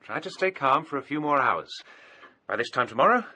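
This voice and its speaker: Stern young British gentleman, sharp-voiced. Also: medium pitch, animated, husky, heavily acted. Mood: firm reassurance. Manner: brisk, firm, moralising. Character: stern, sceptical, upright young English gentleman. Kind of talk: radio drama acting.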